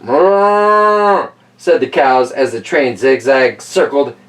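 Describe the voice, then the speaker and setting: A man's voice: medium pitch, measured pace, recorded from a distance. A man reading a picture book aloud for children, dropping in playful, wry asides as he goes. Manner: playful, wry commentary on the story. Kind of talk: animated children's story reading with casual asides.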